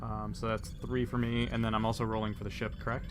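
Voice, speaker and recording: A man's voice: lowish voice, monotone, quick pace, over music. A man chatting casually as a player in a tabletop role-playing game. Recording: clear background noise.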